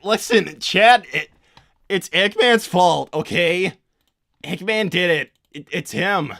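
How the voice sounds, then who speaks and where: A man as a bright-voiced, flustered young hedgehog hero. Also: high voice, slow pace, vocal fry, dry recording, studio quality, full character voice. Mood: frantic, pleading. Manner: stammering, hesitant, self-deprecating. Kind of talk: improvised in-character livestream roleplay.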